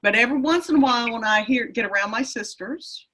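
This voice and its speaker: Folksy Texan woman, bright voice. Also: fairly high pitch, fast talker, rough recording. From Texas. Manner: folksy, rambling with many restarts. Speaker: real estate coach. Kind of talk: casual interview answers.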